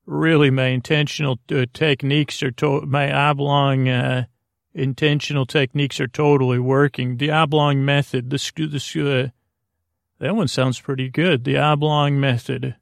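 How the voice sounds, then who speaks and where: A man hosting a bedtime podcast with a creaky voice, rambling in a hesitant, mumbling, self-deprecating way with frequent tangents. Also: medium pitch, little pitch movement, normal speed, no room sound, clean studio sound. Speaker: host of a bedtime sleep podcast. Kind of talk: rambling, meandering bedtime monologue.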